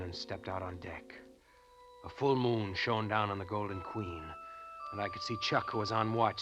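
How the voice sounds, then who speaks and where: An actor playing a haunted, weary deep-sea diver in a 1940s radio drama, speaking gravely and broodingly. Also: male voice, close to an everyday voice. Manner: grave, brooding narration and terse replies. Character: haunted, weary male deep-sea diver telling his dark tale.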